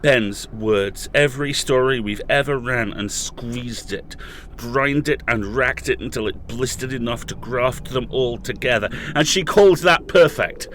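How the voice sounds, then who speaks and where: A man's voice: medium pitch, unhurried, fairly bright tone, a little hiss or hum, lightly acted. A man playing a bitter, furious captive freed after years, speaking with dramatic emphasis in an audio horror drama. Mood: bitter, angry.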